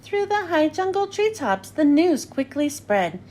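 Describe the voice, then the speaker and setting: A woman reading a children's story aloud in an expressive, singsong rhyming delivery. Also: sits high, measured pace, a little hiss or hum. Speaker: children's book reader. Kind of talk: animated read-aloud storytelling.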